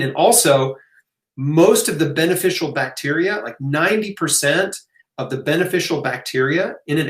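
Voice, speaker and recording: A man with a fairly high voice hosts a live question-and-answer session in a very roomy space. He talks casually and off the cuff, punctuating his answers with emphatic asides and checking in with the listener. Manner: conversational, emphatic asides, rhetorical okays. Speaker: host of a live health Q&A. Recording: roomy, echoing room sound.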